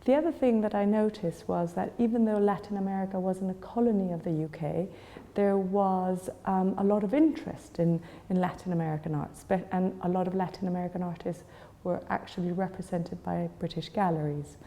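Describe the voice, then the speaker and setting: Chilean-born woman with a mellow, low voice. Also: fairly even intonation, a little fast, few pauses, long reverb tail. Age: 40s. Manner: thoughtful, with frequent fillers and restarts. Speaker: gallery owner. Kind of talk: conversational interview answers.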